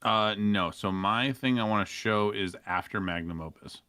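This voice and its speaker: A man's voice: on the low side, moderate pace, bright, forceful tone, smooth, dry recording, studio quality. A man speaking as a guest in a casual podcast discussion.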